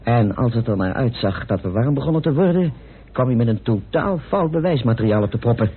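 An actor playing an excitable, incredulous colleague, speaking emphatically in an old Dutch radio drama. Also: male voice, medium pitch, brisk, breathy edge. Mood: emphatic. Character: astonished, excitable male colleague in a political mystery. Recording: noisy muffled tinny old radio recording.

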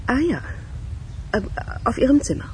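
Radio thriller role: a cool, inquisitive, ironic woman, dark relaxed tone. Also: sits high, moderate pace, a little hiss or hum. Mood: wry, guarded. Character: self-possessed, teasing woman, dryly ironic and inquisitive. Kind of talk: German radio crime drama acting.

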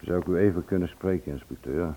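An actor in a Dutch radio play, playing a respectful, careful and apologetic police sergeant. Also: male voice, low voice, fast, mellow, slightly rough. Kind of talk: Dutch radio drama acting. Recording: muffled lo-fi old radio recording.

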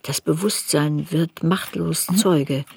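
An actress playing a serious woman looking back on her girlhood shame, narrating a literary radio play in a measured, introspective way. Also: low voice, creaky, darkish tone, slight background noise, exaggerated voice. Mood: introspective, grave.

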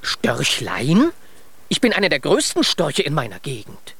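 An actor in a children's radio play voicing a proud but dreamy, scatterbrained stork with a good-natured, musing manner. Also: male voice, a little high, wildly swooping pitch, measured pace, fairly bright tone, slightly rough, no echo, full character voice. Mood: amused, proud.

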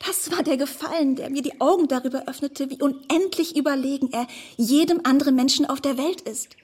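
A woman playing a naive, smitten young woman in a radio drama, earnest and effusive with a breathy delivery. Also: high-pitched, brisk, darkish tone, lightly acted. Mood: adoring. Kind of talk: German radio drama acting.

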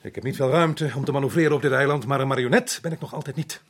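An actor playing a harried, indignant middle-aged colonial resident in a radio drama, exasperated and sarcastic. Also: male voice, medium pitch, steady tone, fast talker, lightly acted.

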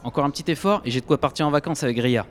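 A man's voice: mid-range voice, rapid-fire, lightly acted. A man playing a cheerful young factory worker, chatting in loose slang in an audio drama. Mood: cheerful, boastful. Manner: casual, slangy.

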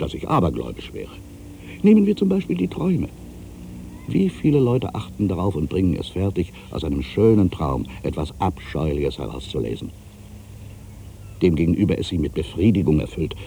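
An actor playing an irritable, brooding ageing writer, delivering a theatrical literary monologue for a radio play, moving between exclamations and hushed asides. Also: male voice, very deep voice, sing-song, a little fast, creaky, slight background noise, exaggerated voice. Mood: reflective, hushed, then argumentative. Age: older. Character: ageing writer, musing, irritable, suspicious, quietly superstitious.

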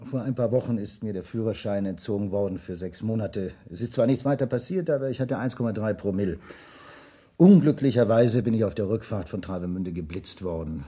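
A guarded, self-assured businessman in a vintage radio crime play. The voice is low and very mellow, with a husky grain. He talks formally, with smooth insistence. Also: a little fast. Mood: confidential. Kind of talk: 1970s German radio crime drama acting.

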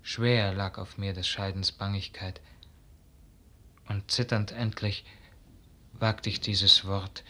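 Yearning young nobleman, low pitch, slow pace, wistful, lyrical, earnest, classical radio drama. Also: level tone, sharp tone, heavily acted. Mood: tender, wistful.

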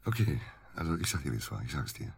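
Radio drama evasive male doctor under suspicion: deep, husky, hesitant, very dry recording. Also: big pitch swings, no room sound, heavily acted. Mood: defensive, agitated. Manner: halting, hesitant, defensive. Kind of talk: naturalistic German radio drama acting.

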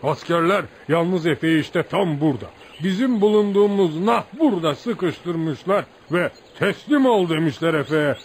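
An actor in a radio play voicing a deferential village man who tells outlaw legends with admiration, like an animated folk storyteller. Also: sits high, gravelly. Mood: dramatic. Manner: animated folk storyteller, addresses listener as sir. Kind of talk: radio theatre acting. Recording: noisy radio drama recording.

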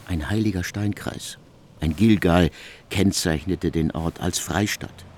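An actor as a grave storyteller, narrating an ancient biblical tale in a measured, articulate way for a literary radio drama. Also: male voice, sits low, a little mellow, slight background noise.

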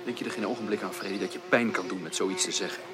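An actor playing an ardent, easily wounded young gentleman suitor in a radio drama, earnest and hesitant in formal speech. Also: on the low side, quick pace, noisy background, lightly acted. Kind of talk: 1980s Dutch radio drama acting.

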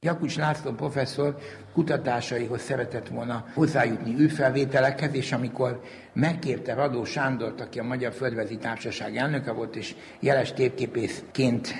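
Male interviewee, mid-pitched, detailed explanatory recollection, recorded in a long-reverb room with faint noise. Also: steady tone, vocal fry. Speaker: interviewee recalling the history of maps. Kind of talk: spoken reminiscence, explanatory.

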